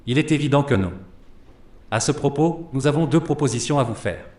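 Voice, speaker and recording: Radio play, big echo: male actor as assured, formal avenger leader, mid pitch. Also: expressive, fairly quick, darkish tone, natural voice. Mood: assured, businesslike. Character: resolute leader recruiting men for vengeance. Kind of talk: formal audio drama dialogue. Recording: very echoey room.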